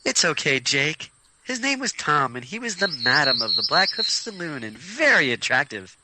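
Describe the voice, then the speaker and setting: A man's voice: fairly high pitch, big pitch swings, normal speed, pitched above the performer's own voice. A man voicing a sly, teasing woman of a Western posse in an audio story, with a pointed delivery. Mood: sly, knowing. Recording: noisy background hiss.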